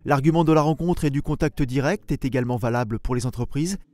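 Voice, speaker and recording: A man's voice: medium pitch, fast, a little mellow, no echo. A man reading scripted narration as the voice-over of a local news report.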